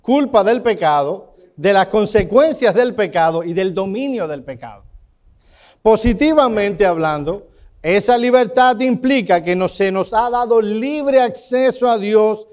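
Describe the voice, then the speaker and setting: A man's voice: sits high, darkish tone. A man with a Cuban Spanish accent teaching a church Bible class, asking his students questions and calling on them by name.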